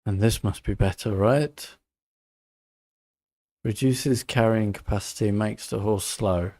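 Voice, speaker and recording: A man's voice: on the low side, slow, mellow. A man giving casual playthrough commentary as a game streamer, muttering as he thinks aloud.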